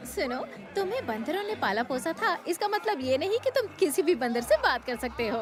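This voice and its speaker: A feisty, quick-witted young cartoon woman. Her voice is high and animated, with a teasing, assertive edge. Music runs behind it. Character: sharp, feisty, knowledgeable young female companion. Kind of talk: Hindi cartoon dubbing.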